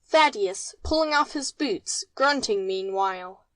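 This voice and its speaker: A woman's voice: medium pitch, animated, a little slow, fairly bright tone, no room sound. A woman narrating, reading stage directions from a script.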